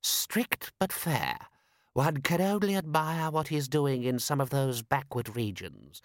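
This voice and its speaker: A man's voice: medium pitch, expressive, unhurried, no echo, studio quality, voice pushed up, natural voice. A man voicing a scornful, haughty aristocrat in an audiobook, pompous and disdainful. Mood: condescending. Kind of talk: audiobook character voice.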